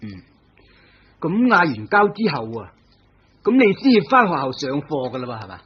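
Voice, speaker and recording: Cast: hard-pressing radio-play police inspector, husky and lively, slightly high, on a dull old recording. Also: male voice, moderate pace, heavily acted. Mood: stern. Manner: firm, pressing interrogation. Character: stern probing male police inspector. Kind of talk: vintage radio drama acting.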